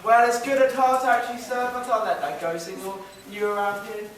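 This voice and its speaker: A man's voice: high voice, flat delivery, brisk, natural voice. A man playing a cheeky, down-to-earth barmaid in a stage pantomime, wry and chatty, recorded in an echoey space. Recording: distant, echoey noisy stage.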